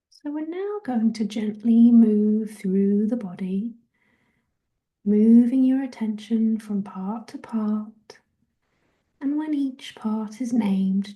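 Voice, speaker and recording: Very slow, soft, mellow woman guiding relaxation. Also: mid-range voice. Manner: soft, breathy, half-whispered, gentle. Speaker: guided relaxation and meditation host. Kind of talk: guided meditation, soothing body scan instruction.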